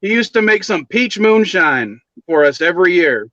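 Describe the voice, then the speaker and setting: A man's voice: high voice, animated, moderate pace, bright, forceful tone, no echo. Rambling man on lo-fi call audio. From Southern California. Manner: chatty, rambling storyteller. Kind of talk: casual chat.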